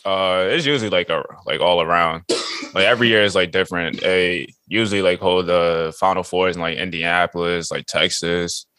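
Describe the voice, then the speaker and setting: A man hosting a podcast in casual sports chat, slangy and profane, with a voice hoarse from shouting. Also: low-pitched, fairly even intonation, normal speed. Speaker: podcast host.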